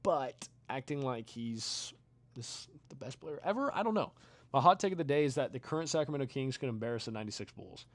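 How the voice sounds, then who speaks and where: A man's voice: medium pitch, lively intonation, brisk, no room sound. Young man, casual rambling chat, tired voice, trailing mumbles, dry close sound. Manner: rambling, trails off, mumbles and quiet asides between bursts. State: voice getting tired. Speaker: streamer reading chat. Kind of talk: casual chat while playing a video game.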